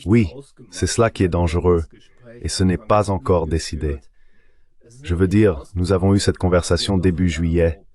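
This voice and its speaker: A man's voice: deep voice, steady tone, normal speed, darkish tone. A man speaking as an expert guest, giving critical, opinionated answers in a dubbed political interview.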